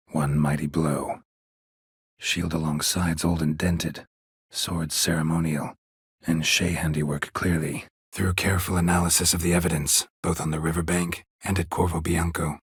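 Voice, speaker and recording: An actor playing a gruff, stoic monster hunter in a video game, with terse, deadpan, dry-witted delivery and a gravelly voice. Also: male voice, dry recording, exaggerated voice. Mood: somber, measured. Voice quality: gravelly, husky low voice. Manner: terse, deadpan, clipped phrasing.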